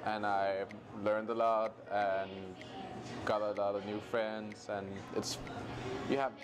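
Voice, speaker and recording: A Danish man in his twenties, an exchange student speaking English with a Danish accent, pausing hesitantly with many "uh"s. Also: lowish voice, level tone, crowd noise. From Denmark. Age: 20s. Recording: music playing under the voice.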